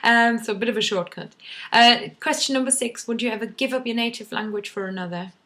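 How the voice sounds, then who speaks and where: A woman's voice: a little high, brisk, a bit bright. A young woman whose first language is German, talking casually to camera in a rambling way, full of ums and restarts. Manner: chatty, many ums and restarts. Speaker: vlogger.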